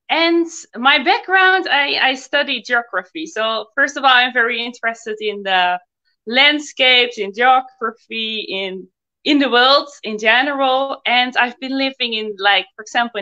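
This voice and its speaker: A woman's voice: on the high side, sharp tone. A Dutch woman answering interview questions in an open, chatty way with some fillers. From Netherlands. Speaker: guest. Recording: slightly muffled audio.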